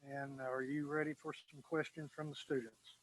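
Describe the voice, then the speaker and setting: A man's voice: fairly high pitch, level tone, moderate pace, fairly bright tone, poor audio. A man moderating a radio contact as the station operator. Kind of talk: radio call and hand-off.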